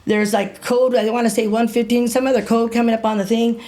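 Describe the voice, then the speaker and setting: A woman in her fifties telling a gritty personal story in a casual interview, animated, repeating herself and swearing freely. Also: on the high side, a little fast. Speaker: interview guest.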